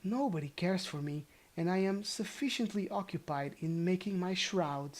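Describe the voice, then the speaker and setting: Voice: medium pitch, normal speed, darkish tone, natural voice. A storybook reader voicing an earnest, prim young elfin maiden. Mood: timid, frank. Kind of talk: storybook character voice.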